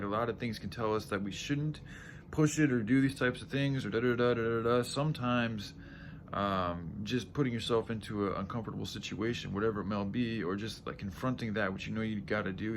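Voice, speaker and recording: A man with a groggy, tired voice talking casually to viewers in a halting, self-correcting, sweary ramble, often clearing his throat. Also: fairly low pitch, a little hiss or hum. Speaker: vlogger.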